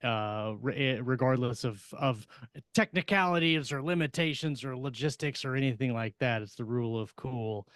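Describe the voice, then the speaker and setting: A man's voice: mid-range voice, normal speed, fairly bright tone, no echo. A man co-hosting a podcast, chatting in an informal, easygoing way. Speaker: podcast co-host. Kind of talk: casual chat.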